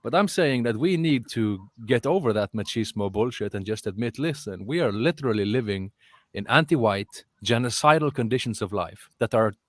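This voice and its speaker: A man's voice: mid-range voice, animated, moderate pace, no room sound. A man with a Norwegian accent ranting casually on an online panel, emphatic, sweary and prone to repeating his phrases.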